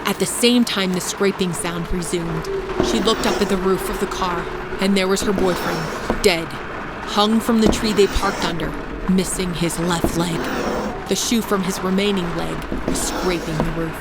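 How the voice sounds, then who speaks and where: A woman reading a scripted dark horror story in a dramatic, suspenseful storytelling manner. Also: lowish voice, fairly even intonation, a little slow, very noisy. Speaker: storyteller, podcast narrator. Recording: music bed under the voice. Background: music.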